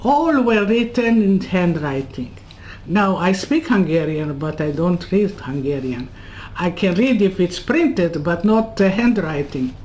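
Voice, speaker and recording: A woman in her eighties from Serbia, telling her life story in an interview, in halting non-native English full of asides. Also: lowish voice, animated, a little mellow, poor audio. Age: 80s. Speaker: Holocaust survivor interviewee. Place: home room lined with bookcases. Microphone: webcam on a video call.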